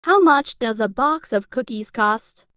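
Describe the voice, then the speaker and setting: A woman reading an English lesson, saying each practice phrase twice in a slow, even way. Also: fairly high pitch, dry recording, clean studio sound.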